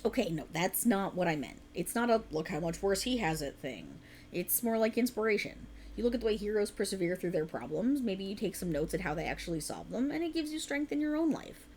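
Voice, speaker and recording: Casting: woman as a tired writer girlfriend for ASMR roleplay, lively swooping pitch, earnest, playfully defensive, faint noise behind. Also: a little fast, natural voice. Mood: passionate, explaining. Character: tired, rambling, passionate young woman writer, teasing girlfriend. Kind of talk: ASMR girlfriend roleplay, intimate conversational acting.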